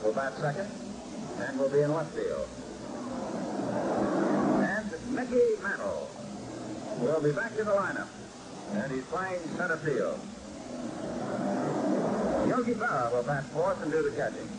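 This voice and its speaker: An American man calling baseball in formal, measured 1950s radio commentary style, with florid phrasing. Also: on the high side, sing-song. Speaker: baseball radio play-by-play announcer. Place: baseball stadium broadcast. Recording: noisy vintage 1950s radio broadcast. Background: loud noise and ambience.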